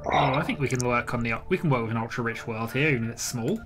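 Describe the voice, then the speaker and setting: A man's voice: medium pitch, quick pace, fairly bright tone, soft background music. A man in casual conversation as a player during a strategy game let's play. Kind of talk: casual gaming chat over a let's play.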